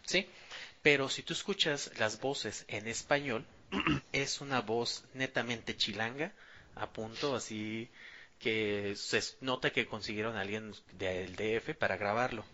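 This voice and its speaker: Bright-voiced man, emphatic. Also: fairly low pitch, unhurried, dull audio. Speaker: podcast panelist. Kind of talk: casual gaming chat.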